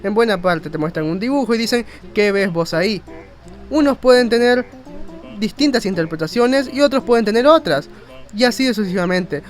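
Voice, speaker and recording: A man's voice: high-pitched, lively intonation, normal speed. A man narrating an informal educational explainer in a conversational, rambling way with self-mocking asides. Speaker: video narrator and graphic designer. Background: faint music.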